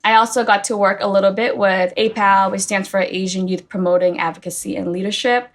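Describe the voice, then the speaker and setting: A woman in her thirties who grew up in Boston, chatting as a guest in a relaxed interview. She talks freely, tossing in "like" and "right?" tags as she goes. Her pitch sits in an ordinary middle range. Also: brisk. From Boston, United States. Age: 30s. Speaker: guest, coffee company founder. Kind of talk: casual interview conversation.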